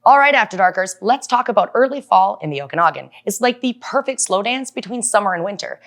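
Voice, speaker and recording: A woman's voice: medium pitch, lively intonation, fast talker, fairly bright tone, a little hiss or hum. A woman hosting a show, delivering a scripted monologue in a playful, theatrical hype style. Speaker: show host.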